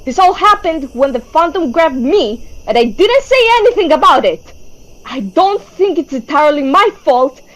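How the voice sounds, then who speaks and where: High-voiced, intense young female leader, acted by a woman. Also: sing-song, normal speed, a bit bright, poor audio. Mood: desperate, upset. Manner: intense, earnest, emphatic. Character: anxious, driven young female leader of trapped group. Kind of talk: fan-dub webtoon voice acting.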